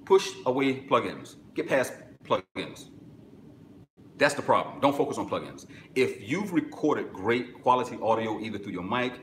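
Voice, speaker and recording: Middle-aged male host with a deep voice, blunt and instructive, live-streaming from an echoey office on a camera mic. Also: very animated, normal speed, a bit bright, slight background noise. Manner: direct, instructive, answers viewer comments. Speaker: music business webinar host. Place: small office with corkboard, indoors.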